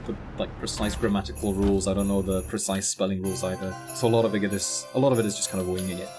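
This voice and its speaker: A fairly low-pitched man from the Netherlands talks through a live game stream in a loose, chatty way. He answers viewer questions between runs and laughs off his own mistakes. Game music plays clearly underneath him. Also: steady tone, fast. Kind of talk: live gameplay commentary.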